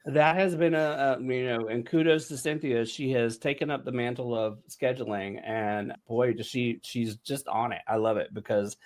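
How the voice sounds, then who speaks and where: A man's voice: mid-range voice, steady tone, moderate pace, hardly any pauses. A man hosting a casual podcast chat among writers, speaking informally and with enthusiasm. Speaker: discussion host and writer.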